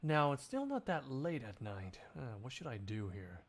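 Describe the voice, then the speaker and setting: A man's voice: medium pitch, wildly swooping pitch, normal speed. A man live streaming, chatting casually and hesitantly as he thinks aloud. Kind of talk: casual chat.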